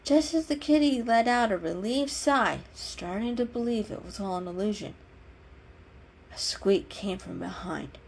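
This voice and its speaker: A woman's voice: mid-range voice, measured pace, vocal fry, darkish tone, slightly rough. A woman narrator giving a dramatic storybook reading. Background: music.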